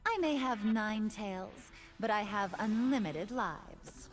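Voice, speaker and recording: A woman's voice: medium pitch, slow pace, a little hiss or hum, natural voice. An actress playing an ancient nine-tailed fox woman in a video game, poised, teasing and tender, speaking scripted lines. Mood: playful, teasing. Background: music.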